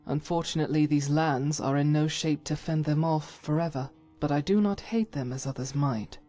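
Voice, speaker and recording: Casting: actress for grave, pious female game follower, low voice, formal and measured, faint music underneath. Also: mellow. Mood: solemn. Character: devout, grave female warrior companion, principled and formal. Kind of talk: scripted fantasy game dialogue.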